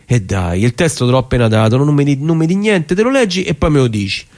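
Eager Roman theatre director, played by a man, talking haltingly. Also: mid-range voice, very animated, darkish tone, lightly acted. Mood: insistent, persuasive. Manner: halting, repeats words while explaining. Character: eager, persuasive young male theatre director. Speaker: actor. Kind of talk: colloquial theatrical dialogue for radio.

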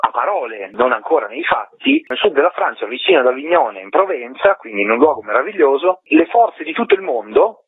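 A man's voice: medium pitch, big pitch swings, a little fast, hardly any pauses, slightly rough, no room sound. A man being interviewed over the phone as a documentary director, explaining his subject in an articulate, discursive and reflective way. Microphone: telephone. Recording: tinny narrow-band phone line.

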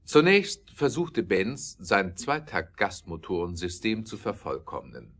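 A man narrating an audio drama with scripted, expressive storytelling delivery. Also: low voice, unhurried.

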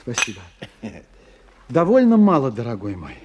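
An actor in a radio play voicing a learned, courteous scientist, skeptical and a little bemused, who phrases his thoughts hesitantly and deliberately. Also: mid-range voice, wildly swooping pitch, darkish tone, a little hiss or hum. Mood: musing. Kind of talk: 1990 Russian radio play dialogue.

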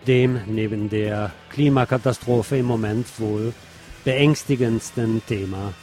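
A man's voice: lowish voice, unhurried, darkish tone, soft background music. A man hosting a news show, reading press articles aloud and adding sardonic, emphatic commentary. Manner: sardonic asides, emphatic repetition.

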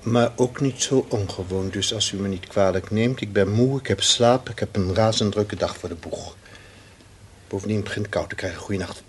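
A man's voice: on the low side, normal speed, close to an everyday voice. An actor playing an irritable, commanding middle-aged husband and father in a radio play. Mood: indignant. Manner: stern, indignant, commanding. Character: irritable, authoritative husband and father, woken at night. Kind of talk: Dutch radio play acting. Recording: noisy old radio play recording.

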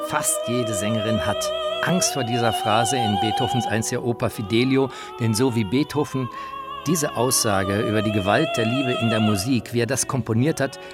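A man talking about opera in an emphatic, reflective way, as the author and presenter of a radio feature. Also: mid-range voice. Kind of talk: personal spoken commentary on opera. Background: opera music.

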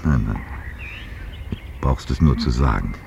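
Authoritative, probing male investigator, very deep, animated, on a dull radio-drama recording. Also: vocal fry, heavily acted. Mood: insistent, probing. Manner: measured, insistent questioning and explaining. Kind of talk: German science-fiction radio play acting. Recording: dull, slightly noisy 1970s radio recording.

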